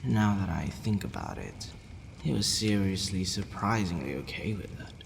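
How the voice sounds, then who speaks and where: A man acting a haughty but softening vampire lord in an ASMR audio roleplay, brooding, formal and given to hesitant sighing. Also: sits low, lively intonation, normal speed, gravelly. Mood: commanding, polite. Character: possessive male vampire lord, haughty yet secretly softening. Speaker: roleplay voice actor. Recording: long reverb, faint background noise.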